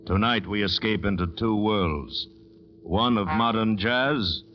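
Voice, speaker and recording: Male 1940s radio announcer, very low, ominous measured narration over prominent music, dull vintage sound. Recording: muffled old-time radio recording. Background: loud music.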